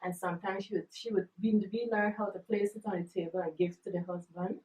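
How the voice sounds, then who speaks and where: A woman's voice: mid-range voice, fairly even intonation, fast talker, darkish tone. A woman from Guyana, a guest explaining things earnestly in casual chat. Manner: earnest, explanatory, many fillers. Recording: roomy echo, distant mic.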